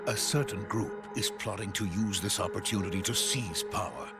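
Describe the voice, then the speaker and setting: Guy acting a wary captive soviet scientist, low serious voice, explains gravely, game cutscene with music. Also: normal speed, lightly acted. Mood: grave, explaining.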